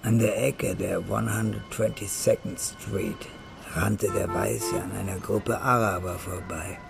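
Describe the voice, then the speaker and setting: A man narrates a hardboiled crime radio play. His low voice is heavily gravelly and creaky, set over loud music. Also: fairly even intonation, slow pace.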